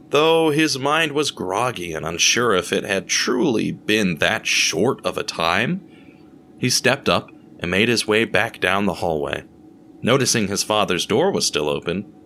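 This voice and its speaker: A man reading scripted dramatic story narration in a measured, deliberate storytelling manner. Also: lowish voice, pushed and bright, slight background noise.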